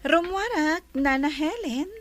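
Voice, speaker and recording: A woman's voice: sits high, animated, a little slow, soft background music. A woman playing a bossy, haughty young mistress of a mansion in an Ilocano radio drama, with an Ilocano Filipino accent.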